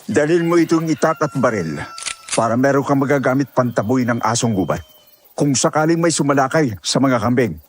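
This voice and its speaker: A man's voice: medium pitch, expressive, a little fast, lightly acted. A man playing a protective, cautious old grandfather who gives earnest advice in an audio horror drama. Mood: cautioning. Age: older.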